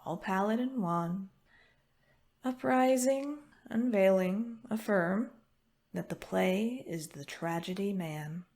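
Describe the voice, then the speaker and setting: A woman performing dramatic Gothic poetry as a grave, ominous reciter, theatrical and drawn-out with menacing drops into whispers. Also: medium pitch, darkish tone. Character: grave, ominous female reciter of macabre verse. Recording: clear background noise.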